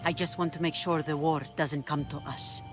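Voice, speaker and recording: A woman's voice: low-pitched, fairly even intonation, moderate pace, over music, lightly acted. A woman playing a commanding, shrewd pirate leader in a video game, dry and authoritative. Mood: shrewd, businesslike. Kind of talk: dramatic video game cutscene acting.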